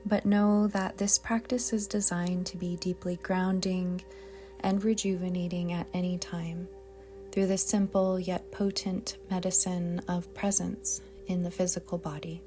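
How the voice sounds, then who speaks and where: Slow, low, soft-spoken woman meditation guide. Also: soft background music. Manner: calm, soothing, gentle, deliberate. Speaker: yoga nidra meditation guide.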